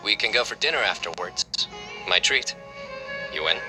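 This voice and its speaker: An actor playing a calm, terse young man in game voice acting. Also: fairly low pitch, normal speed, music in the background, lightly acted.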